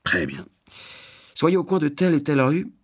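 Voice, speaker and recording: A man acts out a gruff, prosperous working man from a storybook, full of himself and contemptuous. He bellows and scolds, stressing every point as if handing down a moral lesson. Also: fairly high pitch, huge pitch swings, normal speed, voice pushed up, full character voice. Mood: curt challenge. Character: gruff, self-righteous, gluttonous well-off working man.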